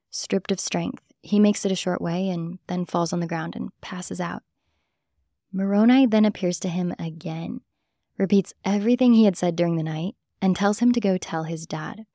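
Mellow low-voiced woman narrating. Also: steady tone, normal speed, no room sound, clean studio sound. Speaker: host and narrator. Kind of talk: scripted documentary podcast narration.

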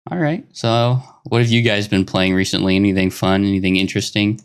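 A man, hosting a casual gaming podcast in an earnest, steady way with the odd filler pause. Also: on the low side, clear, resonant tone. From Arkansas, United States. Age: 20s. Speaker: podcast host.

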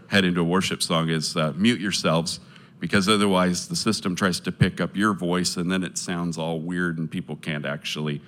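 A man with a low voice speaking earnestly to a live audience, sharing his testimony and appealing for support, with many pauses and restarts. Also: fairly bright tone, slight background noise.

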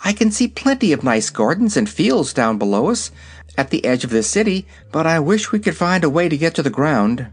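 A man's voice: on the high side, very animated, brisk, husky, voice pushed up. A man voicing an earnest, brave, wide-eyed little girl in a storybook reading. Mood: hopeful. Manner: earnest, exclaiming.

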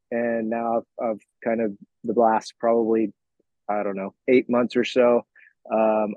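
An American man in his forties speaks as a coach being interviewed, candid and reflective, choosing his words haltingly. His middle-pitched voice comes through dry, with a slightly dull, lo-fi sound. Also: darkish tone, no echo. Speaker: fitness coach, podcast guest. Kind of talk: informal interview, personal storytelling.

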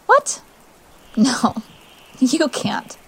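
A woman's voice: high-pitched, wildly swooping pitch, very slow, creaky, slightly rough, heavily acted. An actress playing a resolute young female exile in an audio drama, earnest and emotional, tender but firm. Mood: regretful.

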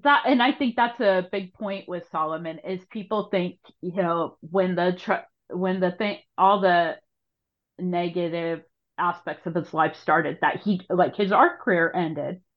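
A woman's voice: fairly low pitch, measured pace, lo-fi sound. A woman hosting a conversational podcast interview, engaged and affirming as she builds on what the guest says.

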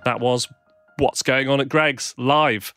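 A man hosts a comedy radio show in a dry, mock-serious, deadpan way. His voice sits at a middle pitch, and soft music plays faintly behind him. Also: slow pace. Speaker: radio host.

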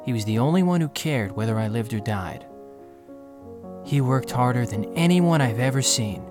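A man's voice: mid-range voice, natural voice. Man playing a heartfelt storyteller over music. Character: earnest, reflective wheelchair-using male prisoner storyteller. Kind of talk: audio drama dialogue and first-person narration.

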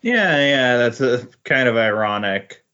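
A man's voice: mid-range voice, sing-song, measured pace, bright, forceful tone, smooth, dull audio. A man chatting casually as a podcast guest, agreeable and a little hesitant. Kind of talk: casual chat.

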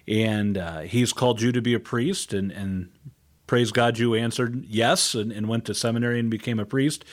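A man's voice: mid-range voice, steady tone, moderate pace. A man hosting a conversational radio interview.

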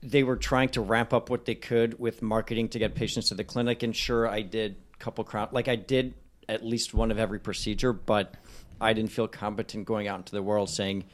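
A man with a lowish voice, a dentist guest on a podcast. He explains his training earnestly and in detail, with the hesitations of casual talk. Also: little pitch movement, brisk.

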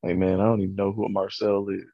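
A man in casual chat, halting and full of fillers. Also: deep voice, level tone, no room sound. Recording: dull, muffled lo-fi audio.

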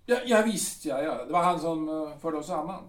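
A man's voice: fairly high pitch, animated, far from the mic, natural voice. A man playing a bitter, aging artillery captain who grumbles and hesitates with many ums, in an audio drama. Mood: grumbling. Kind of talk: Swedish audio drama acting. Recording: roomy, off-mic sound.

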